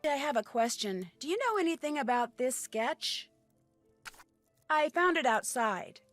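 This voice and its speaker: A woman's voice: high-pitched, sing-song, unhurried, fairly bright tone, no room sound, heavily acted. A woman playing a curious, polite young detective in a video game, direct and questioning in her manner. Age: young adult. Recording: music behind dialogue.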